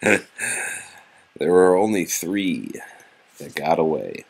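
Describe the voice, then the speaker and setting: A man with a husky voice reads a children's picture book aloud expressively to young children, with casual, patient asides. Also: low-pitched, lively intonation, very slow, poor audio.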